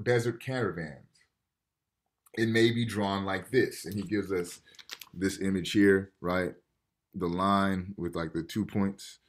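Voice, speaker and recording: A man in his thirties giving a casual college lecture over a video call from a room at home, reading passages aloud and explaining them in a conversational way. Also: lowish voice. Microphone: video call webcam.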